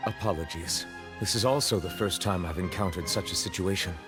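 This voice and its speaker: A man acts out a composed chief judge from a video game. The voice is low and grave, and he speaks formally and courteously, with measured reserve throughout. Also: over loud music, close to an everyday voice. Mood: solemn, apologetic.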